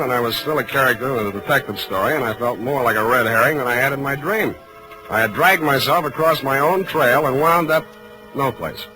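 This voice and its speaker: An actor playing a wry, hard-boiled American private detective in a 1940s radio drama. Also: male voice, medium pitch, natural voice, low-quality recording. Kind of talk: 1940s radio noir acting, first-person narration. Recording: vintage radio recording, music underneath.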